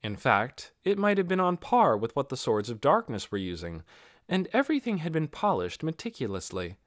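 A man's voice: mid-range voice, very animated, normal speed, no echo, clean studio sound. A man reading a fantasy novel as a scripted audiobook narration.

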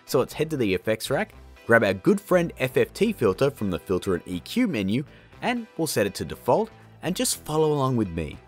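A man in his thirties hosting a casual software tutorial in an informal, jokey and emphatic way. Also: medium pitch, huge pitch swings, a little fast, soft background music. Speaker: video tutorial host.

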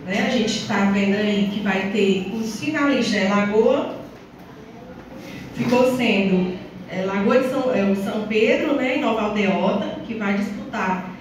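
A woman's voice: medium pitch, measured pace. Echoey, distant northeastern Brazilian woman councillor. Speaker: town councillor. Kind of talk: semi-formal public speech. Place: small council chamber, indoors. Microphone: phone or camera several metres away. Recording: distant, very echoey, noisy room recording.

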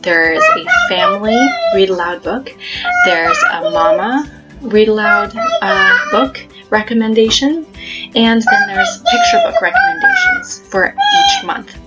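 A woman in her thirties talks casually to camera, explaining things in a hesitant way with thoughtful pauses. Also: very high voice, wildly swooping pitch, loud music behind the voice, low-quality recording. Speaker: homeschooling parent and video host. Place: small plain room at home, indoors.

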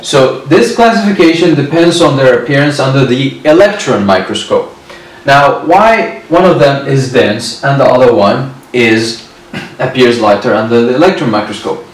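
A man in his twenties giving a whiteboard lecture on histology, speaking slowly and repeating key terms. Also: medium pitch, slight background noise. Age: 20s. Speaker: medical lecturer. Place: indoor room at a whiteboard. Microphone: far camera. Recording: very echoey room, distant mic.

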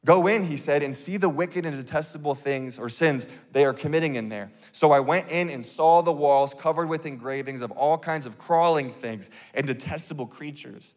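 A man in his thirties preaching a sermon on a church stage into a handheld mic, earnest and conversational, with quick bursts and restarts. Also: fairly high pitch, big-room sound. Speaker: pastor.

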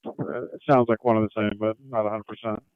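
Flat, quick male officer on thin radio. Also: fairly low pitch, smooth, no echo. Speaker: police officer on patrol. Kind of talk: police radio call. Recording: thin, phone-like police radio.

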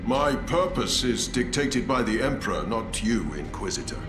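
An actor playing a stern, resolute space marine commander in a video game, speaking solemnly and formally. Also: male voice, medium pitch, big pitch swings, moderate pace, reverberant, over music, close to an everyday voice. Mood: grave, firm. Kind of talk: dramatic video game acting.

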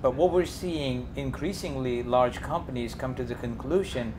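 A man's voice: medium pitch, moderate pace, noisy background. A man speaking on a business panel, laying out his points one by one like a presenter. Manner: listing points methodically, presenter-like. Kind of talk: panel discussion.

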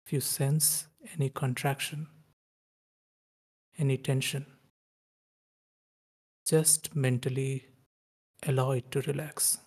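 Male meditation guide, soft dark tone with little pitch movement, unhurried short instructions separated by long pauses. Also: breathy edge. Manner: slow, calm, spaced-out short phrases with long pauses.

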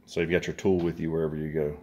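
A man's voice: rumbling low, little pitch movement, rapid-fire, background noise. A man in casual chat, rambling and folksy as he unboxes and looks over a chainsaw for his viewers, filming with a handheld phone at his workbench. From southern United States. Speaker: vlogger reviewing a chainsaw. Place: workshop or garage indoors, workbench. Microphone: handheld phone camera.

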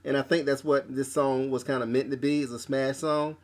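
A man hosting a casual album review podcast, talking haltingly with lots of fillers and restarts. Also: medium pitch, flat delivery, darkish tone.

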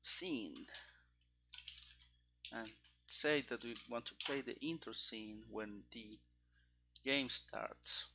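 A man in his thirties giving casual live coding commentary, haltingly thinking aloud into a headset mic. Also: medium pitch, creaky, sharp tone, a little hiss or hum. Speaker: programmer live-streaming game development. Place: small room indoors at a desk. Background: keyboard typing and clicking.

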